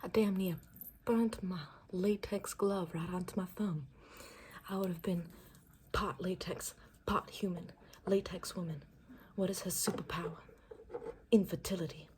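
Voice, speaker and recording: A woman doing an improvised ASMR makeover roleplay, mixing soft breathy whispers with murmured speech in a playful, rambling, soothing way. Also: fairly low pitch, steady tone, unhurried, pauses often, slight background noise.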